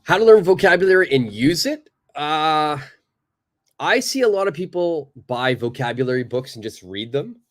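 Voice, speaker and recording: A male native English speaker teaching a live online English lesson, answering viewers' questions in a relaxed, chatty way with lots of fillers. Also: on the high side, moderate pace. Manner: relaxed and conversational, many fillers, acts out little examples.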